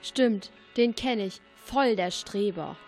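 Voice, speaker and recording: A woman's voice: medium pitch, slow, darkish tone, soft background music. A female performer playing a chatty, eager girl detective in a German radio play.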